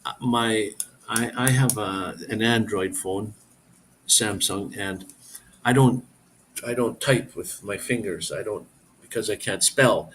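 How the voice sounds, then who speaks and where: A man's voice: on the low side, slow, fairly bright tone, slight background noise. A middle-aged man hosting a casual live stream, rambling as he reads viewer comments aloud and answers them.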